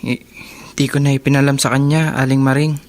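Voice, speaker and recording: A man's voice: medium pitch, level tone, brisk, natural voice. A man acting as a guilt-ridden, protective older brother in a Tagalog radio drama, speaking hesitantly and heavy-heartedly. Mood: guilty, sorrowful.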